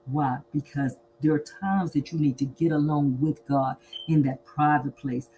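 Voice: medium pitch, steady tone, normal speed, dark tone, slight background noise, poor audio. A preacher delivering an emphatic sermon, repeating phrases and asking rhetorical questions then answering them.

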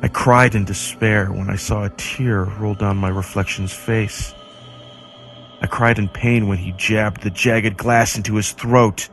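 A man narrating a creepy horror story in a dramatic, ominous manner with deliberate pauses. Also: lowish voice, fairly even intonation, a bit bright, soft background music.

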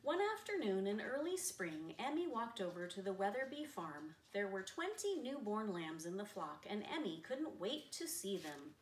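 A middle-aged woman reads a children's picture book aloud in an expressive storyteller's manner. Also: mid-range voice, normal speed, few pauses. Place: living room, seated on a sofa. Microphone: camera a few metres away. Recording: roomy, distant camera mic, faint noise.

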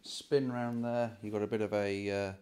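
A man's voice: fairly low pitch, flat delivery, moderate pace, dark tone, smooth. A British man in his forties explaining things casually to camera as a property vlog host, recorded on a handheld phone indoors in an empty house. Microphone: handheld phone at arm's length.

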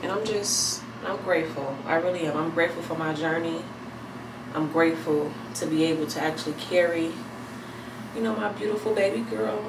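A pregnant woman in her thirties chatting casually to camera at home, thinking aloud in a relaxed, rambling way. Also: lowish voice, normal speed, creaky. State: heavily pregnant. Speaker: vlogger. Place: small bathroom at home, indoors. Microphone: camera mic a few feet away. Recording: echoey room, distant mic, air conditioner hum.

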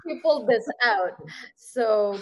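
A woman hosting a remote interview, asking questions in a measured way. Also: fairly high pitch, a bit bright, poor audio. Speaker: host. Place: small home room.

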